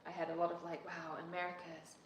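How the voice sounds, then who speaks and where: A woman's voice: on the low side, monotone, fast talker, echoey room, a little hiss or hum, low-quality recording. A young woman who grew up in New Zealand, chatting casually and rambling as she co-hosts a livestream with her husband. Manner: chatty, rambling, laughs often. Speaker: co-host of a livestream.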